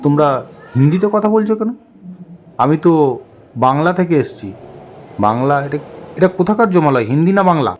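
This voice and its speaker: A man acts out a henpecked village husband for a comic cartoon. His voice sits fairly high and he frets in exaggerated, repetitive complaints. A faint noise lies behind the voice. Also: animated, brisk, pauses often, natural voice. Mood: bewildered. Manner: exaggerated, rambling complaints, repeating himself. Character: hapless henpecked village husband, bewildered and whiny in the afterlife.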